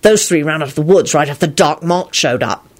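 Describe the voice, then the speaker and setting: A woman's voice: low-pitched, brisk. Woman voicing a grim, brooding young male wizard. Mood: patient, firm. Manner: grim, earnest, subdued. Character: brooding, war-haunted young man, stubborn, protective wizard.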